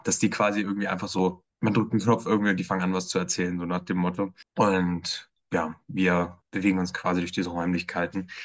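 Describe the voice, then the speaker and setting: A man in an editorial team giving a casual spoken review of a performance, hesitant and full of filler sounds. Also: lowish voice.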